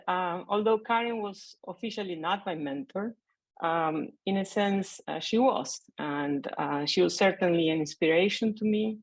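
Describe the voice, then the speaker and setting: A woman's voice: on the low side, dry recording, rough recording. A middle-aged woman from Argentina, speaking as a mathematician on a panel over a video call, conversational and hesitant with many fillers. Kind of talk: remote panel remarks.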